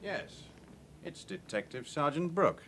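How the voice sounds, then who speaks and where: An actor playing a suave, guarded British banker in a radio drama, cool, formal and suspicious. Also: male voice, on the high side, very animated, slow pace, background noise, full character voice. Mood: coolly polite.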